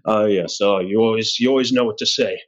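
A man's voice: fairly low pitch, brisk. A man in his forties bantering casually in a self-deprecating, joking way as a podcast host. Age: 40s. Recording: dull, lo-fi audio.

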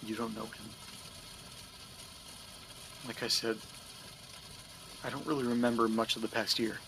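An actor playing an anxious, bewildered man in an audio drama, speaking hesitantly and stammering over car engine rumble. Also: little pitch movement, measured pace, fairly bright tone. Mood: uneasy. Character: anxious, bewildered man recounting strange memory loss. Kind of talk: naturalistic audio drama acting.

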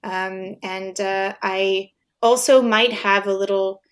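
A woman's voice: mid-range voice, fairly even intonation, slow pace, smooth. A woman from France with a French accent, a podcast guest, speaking earnestly with some hesitation. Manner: earnest, warm-hearted, hesitant. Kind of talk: casual chat.

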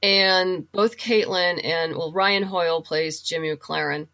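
A woman's voice: on the low side, steady tone, slow, sharp tone. A woman talking casually and enthusiastically as a guest in an interview, in a chatty way. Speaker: guest, writer and audio drama producer.

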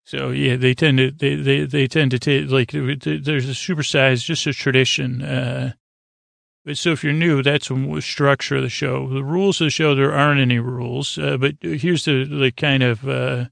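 Creaky, meandering male bedtime podcast host. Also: mid-range voice, little pitch movement, moderate pace, a bit bright, no echo, studio quality. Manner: meandering, hesitant, over-explaining; soothing, lulling. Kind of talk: rambling bedtime sleep podcast talk.

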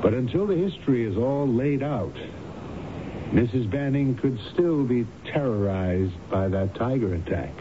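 An actor playing a calm, authoritative doctor in a radio drama, speaking in a deliberate, grave, professional way. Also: male voice, medium pitch, close to an everyday voice. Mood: grave, measured. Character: calm, authoritative doctor probing a patient's memory. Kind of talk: old-time radio drama acting. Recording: noisy, dull old radio recording.

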